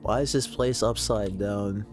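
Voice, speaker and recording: A man giving casual, slangy live reaction commentary while playing a video game, exclaiming excitedly. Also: fairly low pitch, a little slow. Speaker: gaming content creator reacting to a story quest. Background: video game music.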